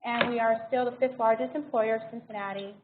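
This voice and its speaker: American woman doing a guest talk, highish voice, tinny sound w/ a bit of noise. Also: steady tone, a little fast. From United States. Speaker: guest speaker, healthcare diversity director. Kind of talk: informal presentation to students. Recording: thin phone-like sound with faint noise.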